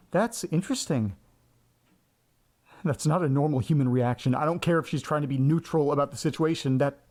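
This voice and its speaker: A man's voice: a little high, darkish tone, lightly acted. An actor playing a disturbed, indignant man in an audio drama, muttering incredulously and sardonically to himself. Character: disturbed, indignant grandson listening to old tapes.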